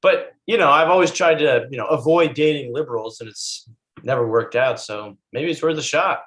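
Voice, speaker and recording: A man playing a flirty, unbothered slacker bro in a comedy table read, with halting, slangy speech. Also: on the high side, fairly bright tone, close to an everyday voice. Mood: deadpan flirting. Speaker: actor in a screenplay table read.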